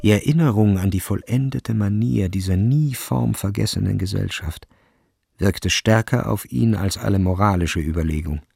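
A man narrating a literary radio drama in a measured, sober manner. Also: fairly low pitch, creaky, darkish tone, slightly rough, no room sound, studio quality.

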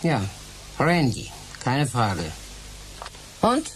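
Curt, low-voiced boss. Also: female voice, huge pitch swings, very slow, slight background noise, heavily acted, low-quality recording. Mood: curt, wary. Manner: curt, commanding. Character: curt, faded female music manager. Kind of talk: radio play acting.

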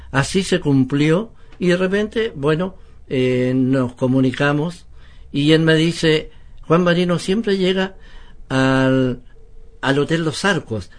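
A man with a Chilean accent hosting radio, talking conversationally and courteously. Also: medium pitch. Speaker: radio host and interviewer. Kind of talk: conversational anecdote and interviewing.

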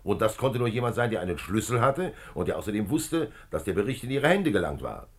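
Commissioner: measured and methodical, deep rough-edged voice with moving melody, 1950s radio crime play. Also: male voice, sing-song. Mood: calm explaining. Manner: measured, explanatory, authoritative. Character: calm, methodical male police commissioner, middle-aged detective. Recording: 1950s radio drama recording.

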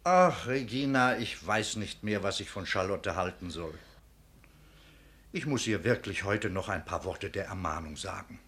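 A man's voice: low voice, a little slow, a bit bright. A man playing a kindly, indulgent old provost in a literary radio drama, affectionate and a little hesitant. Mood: troubled. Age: older.

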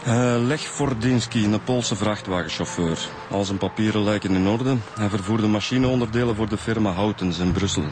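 Actor as a low-voiced Flemish detective. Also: male voice, moderate pace, few pauses, lightly acted. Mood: matter-of-fact. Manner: plain, dry. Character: dry, matter-of-fact male police detective reporting findings. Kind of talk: radio drama acting. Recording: clear outdoor background noise.

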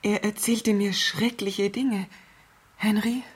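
An actress playing an anxious, pleading, helpless bedridden rich wife in a 1970s German radio thriller, with a breathy, halting delivery. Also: female voice, mid-range voice, fairly even intonation. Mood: uneasy, anxious.

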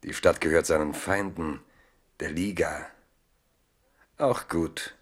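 A man narrating a literary radio play in German with measured, dryly ironic storytelling. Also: very deep voice, steady tone, slightly rough, full character voice. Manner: measured, dry storytelling with irony.

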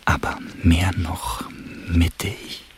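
A deep, whispering, sensual man. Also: little pitch movement, exaggerated voice. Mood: sensual admiration. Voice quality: breathy whisper. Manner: slow, savouring. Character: sensual, admiring man murmuring over a pale body. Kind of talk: whispered radio drama monologue.

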